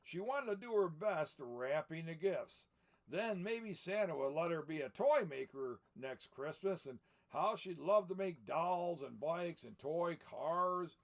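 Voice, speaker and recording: A man's voice: fairly high pitch, animated, a little slow. An older man in the role of Santa Claus reads a picture book aloud, adding folksy, chatty asides as he goes. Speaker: storyteller in the persona of Santa Claus.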